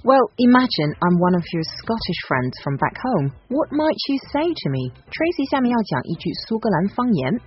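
A woman from China co-hosting a bilingual English lesson, explaining and translating in a brisk, lively way. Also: a little high. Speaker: co-host and translator. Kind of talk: bilingual educational radio presenting. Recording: muffled broadcast audio with faint music bed.

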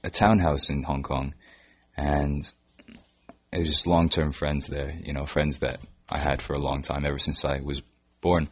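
A man from Canada with a Canadian accent that keeps traces of British, answering interview questions in a hesitant way with frequent pauses. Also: extremely low pitch, level tone, a little hiss or hum. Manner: hesitant, pauses and restarts often. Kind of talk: casual interview chat. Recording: dull lo-fi recording.

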